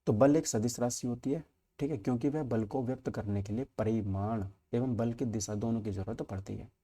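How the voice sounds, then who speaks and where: A man's voice: mid-range voice, moderate pace, mellow. A man teaching an exam-preparation class informally, explaining step by step with frequent check-ins and repeated points. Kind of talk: informal lesson walking through multiple-choice questions.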